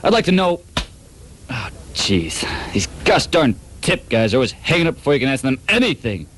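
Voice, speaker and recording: A man playing a pompous, dim-witted, short-tempered private detective in a comedic radio drama, melodramatic, shifting between deadpan narration and ranting. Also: medium pitch, normal speed, a little hiss or hum. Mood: smug.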